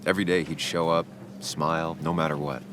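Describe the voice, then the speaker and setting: A man's voice: rumbling low, fairly even intonation, a little fast, noisy background. An actor playing a brooding, grieving, self-deprecating man in a video game, speaking in a subdued, terse way. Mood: somber, regretful.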